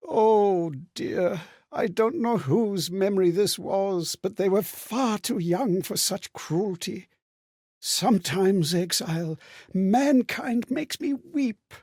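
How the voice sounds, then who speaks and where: An actor playing a gentle, sorrowful male spirit of borrowed memories in a video game, husky and breathy, with theatrical, musing delivery. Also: high voice, slow pace, no room sound, studio quality. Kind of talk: scripted video game character monologue.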